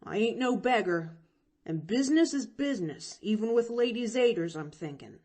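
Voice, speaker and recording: A woman reading an audiobook, voicing a proud, plainspoken poor boy with a rough, folksy way of speaking. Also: on the high side, lively intonation, normal speed, a little mellow. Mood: earnest.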